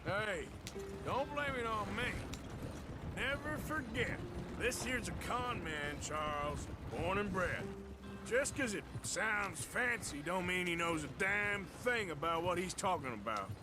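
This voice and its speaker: Teasing cowboy outlaw, lively pitch. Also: male voice, measured pace, over music. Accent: American Western drawl. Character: gruff, teasing male cowboy outlaw in his prime. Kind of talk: casual video game acting.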